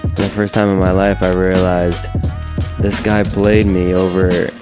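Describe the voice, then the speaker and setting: A man's voice: deep voice, little pitch movement, a little slow, over music. An American man, a filmmaker, telling a personal story in a casual, conversational way. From New York. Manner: conversational, re-enacts dialogue. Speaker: filmmaker and narrator.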